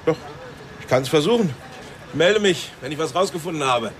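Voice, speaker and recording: A man's voice: fairly high pitch, animated, a little fast, music in the background, natural voice. An actor playing an inquisitive, insinuating male newspaper crime reporter in a radio drama, with a knowing manner. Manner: knowing, suggestive. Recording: city traffic noise behind.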